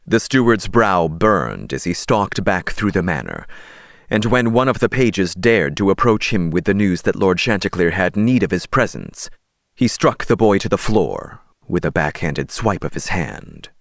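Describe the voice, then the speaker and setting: A man's voice: sits low, very animated, a little slow, vocal fry, no room sound, clean studio sound. A man narrating an audiobook story in a dramatic, scripted reading style.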